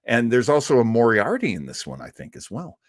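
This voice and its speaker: A man's voice: medium pitch, huge pitch swings, fairly quick, no room sound, studio quality. A man hosting a livestream, chatting casually and answering viewers, rambling with laughs and restarts. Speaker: livestream host. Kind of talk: casual chat with live chat viewers.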